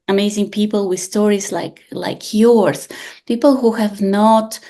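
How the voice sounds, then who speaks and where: A woman in her late forties, speaking English at a middling pitch. She hosts a podcast in a relaxed, conversational manner and tells her stories with lively, animated energy. She often restarts her sentences as she talks. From Bulgaria. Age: 40s. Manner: expressive, animated storyteller with frequent restarts. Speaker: podcast host.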